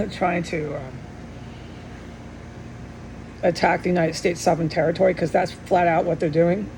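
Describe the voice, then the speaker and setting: A middle-aged woman giving a rambling, earnest personal monologue with insistent, repeated emphasis and a gravelly voice. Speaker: vlogger. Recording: steady background hum and rumble.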